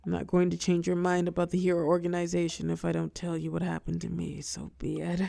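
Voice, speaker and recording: A woman playing a weary telepath supervillain and fallen hero, tender yet menacing, in an intimate audio roleplay with dramatic pauses. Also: on the low side, completely flat delivery, gravelly, warm tone, no echo. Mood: reluctant, resigned. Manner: soothing pet names, wry bitterness, dramatic pauses, drops into whispers.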